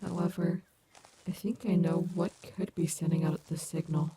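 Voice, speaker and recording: A woman's voice: on the low side, fairly even intonation, moderate pace, mellow, slightly rough. A woman playing a cocky but caring animatronic wolf rock star in an ASMR audio roleplay, teasing and affectionate. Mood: alert, reassuring.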